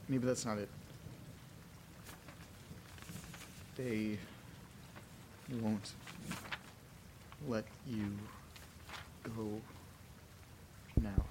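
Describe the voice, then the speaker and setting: Guy acting scared man stuck in a haunted house, slow sweary muttering to himself, rainy noise behind. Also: on the low side. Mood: halting, uneasy. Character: frightened man trapped alone in a haunted house. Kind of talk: horror audio drama monologue.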